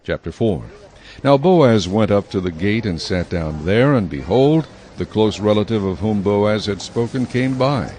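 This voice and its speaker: Guy narrating scripture, lowish voice, measured and solemn. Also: expressive, a little mellow.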